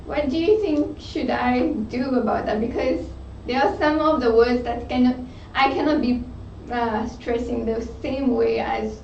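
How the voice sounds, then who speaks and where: A woman's voice: on the high side, fairly even intonation, a little slow, vocal fry, hardly any pauses, a little mellow, a little hiss or hum. A woman from Mauritius with a Mauritian accent, a student asking her tutor hesitant questions. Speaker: test-prep student. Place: studio room. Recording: roomy, distant, dull studio sound.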